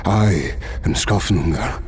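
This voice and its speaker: A man playing a weary, courteous, ailing noble swordsman of great age in a video game, speaking in a measured, formal way. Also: low-pitched, expressive, darkish tone, a little hiss or hum. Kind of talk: dramatic video game voice acting.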